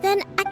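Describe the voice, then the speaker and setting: A woman playing a gentle, dutiful young female leader in a video game, speaking politely and with measured composure. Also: very high-pitched, exaggerated voice. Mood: strained, calming. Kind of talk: scripted video game voice acting.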